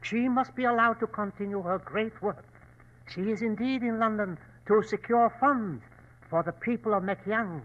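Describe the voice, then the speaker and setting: A man's voice: sits high, normal speed, natural voice. An actor playing an earnest, humble elderly Chinese man, formal and pleading, in old-time radio drama style. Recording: dull vintage radio recording.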